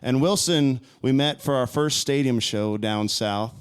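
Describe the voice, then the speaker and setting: A man's voice: medium pitch, unhurried, smooth. A man in his thirties from Florida, a country musician chatting easily in an interview and telling stories with light laughs. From High Springs, Florida, US. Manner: easygoing storyteller with light laughs. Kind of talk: casual interview chat.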